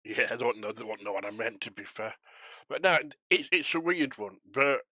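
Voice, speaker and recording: A man's voice: mid-range voice, animated, moderate pace, pushed and bright, dry recording, clean studio sound. A man chatting casually on a flight simulation stream, rambling and self-deprecating as he talks to viewers while controlling air traffic.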